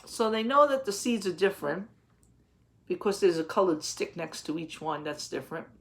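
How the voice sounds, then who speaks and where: A woman in her thirties reads a picture book aloud as a teacher for young children. She speaks gently and pauses to ask questions and explain words in simple terms. She is close to a webcam in a small home office. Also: lowish voice, expressive, vocal fry.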